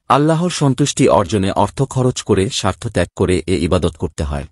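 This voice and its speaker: A man reading a scripted religious narration in a formal, measured manner. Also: on the low side, a little mellow, dry recording, clean studio sound.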